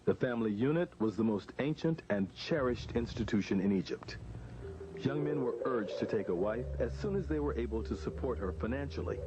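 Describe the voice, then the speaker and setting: A man reading scripted documentary narration in a measured, formal, expressive way. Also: medium pitch, noisy background. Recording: dull lo-fi audio with music.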